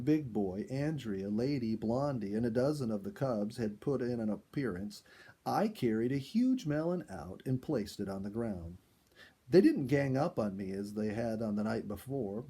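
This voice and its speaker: A male storyteller with a husky, gravelly voice narrates an animal tale. His delivery is expressive and swings widely in pitch, as if acting out each turn of the story. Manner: expressive, acts out dialogue and exclamations. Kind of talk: dramatic narrated animal story read aloud, first person.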